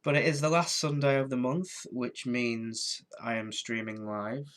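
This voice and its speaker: A man's voice: medium pitch, steady tone, measured pace, a bit bright. A man in his twenties with a head cold, chatting casually on a live stream, tired and congested, rambling and restarting his sentences. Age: 20s.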